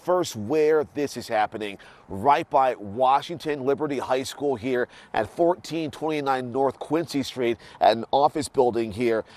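Mid-pitched man reporting live outdoors. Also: a little slow, few pauses, a little mellow. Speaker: field news reporter. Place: outdoors at night by a street.

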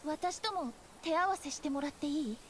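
An actress playing a cheerful, earnest young woman who speaks gently and warmly. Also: high-pitched, moderate pace, slight background noise, natural voice. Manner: bright, gentle, pleading. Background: game score music.

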